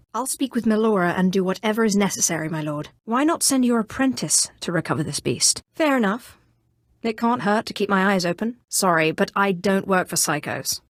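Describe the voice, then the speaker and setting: A woman's voice: mid-range voice, dry recording, studio quality, lightly acted. Haughty young Sith sorceress, played by a woman. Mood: smug, cutting. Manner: cool, imperious, dryly mocking delivery. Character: haughty, sardonic young female Sith sorcerer, former slave. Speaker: video game player character. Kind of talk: scripted video game dialogue.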